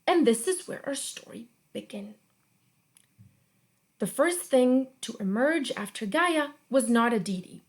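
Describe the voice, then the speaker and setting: A young woman reading a myth aloud for a children's storytime, slowly and expressively. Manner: slow, expressive read-aloud with lively pitch. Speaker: guest reader.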